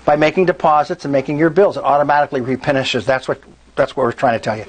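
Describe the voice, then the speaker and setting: A man's voice: medium pitch, steady tone, fast, a little hiss or hum. A man hosting a real estate meetup, explaining to a live audience and often checking in with "right?" and "okay?". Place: meeting room.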